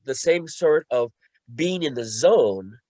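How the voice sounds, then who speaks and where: A man talking casually as a participant and organizer in a panel discussion on a video call, often halting and restarting his words. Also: medium pitch, lively intonation, mellow, dry recording.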